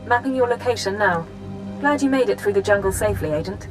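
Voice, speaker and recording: A female intelligence handler in a sci-fi game, played by an actress, brisk and composed. Her mid-pitched voice delivers orders in a cool, businesslike way over faint music. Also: animated, lightly acted, rough recording. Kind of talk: video game character acting.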